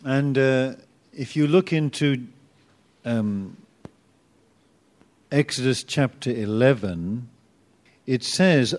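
A man's voice: mid-range voice, takes it slowly, long pauses. A man teaching an informal Bible class, chatty and self-deprecating, with sudden bursts of emphasis.

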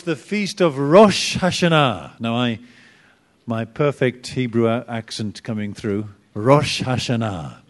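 Slow-speaking man teaching a Bible class. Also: medium pitch. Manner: chatty and self-deprecating, loses his notes, rises to emphatic bursts.

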